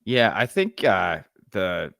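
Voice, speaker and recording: A man's voice: low voice, very animated, slow pace, vocal fry, fairly bright tone, no room sound, studio quality. A young man co-hosting a live fan reaction stream, chatting casually and laughing often. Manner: laid-back, laughs often, says "man" and "dude". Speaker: fan channel co-host.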